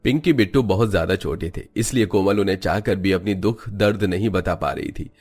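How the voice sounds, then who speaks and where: Male narrator, lowish register, scripted cartoon storybook read. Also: brisk, a little mellow, husky.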